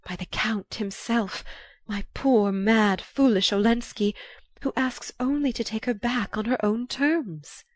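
A woman's voice: mid-range voice, expressive, dry recording, lightly acted. A female narrator performs an older marchioness in a literary audiobook. She is effusive and theatrical, sighing and stretching her words as she confides. Her voice swoops upward with feeling, recorded close and dry. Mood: confiding, pleading. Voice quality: sighing, breathy delivery. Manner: dramatic, drawn-out, confiding.

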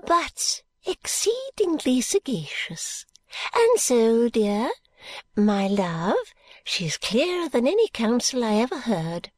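A fluttery, eccentric elderly lady, voiced by a woman reading a novel aloud. She confides in a slow, breathy half-whisper as if sharing secrets, her pitch swooping up and down. The sound is close and dry. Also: big pitch swings, no echo, heavily acted. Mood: confiding.

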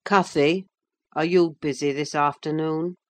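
A woman's voice: low-pitched, fairly even intonation, unhurried. A woman voicing a sullen, jealous teenage boy with a blunt, insistent manner in a classic novel audiobook. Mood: suspicious. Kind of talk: audiobook character acting.

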